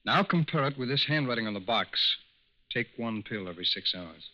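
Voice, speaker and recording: An actor playing a shrewd, businesslike federal agent with a clipped, matter-of-fact delivery in a 1940s radio drama. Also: male voice, medium pitch, lively intonation, brisk, close to an everyday voice. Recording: muffled 1940s radio recording.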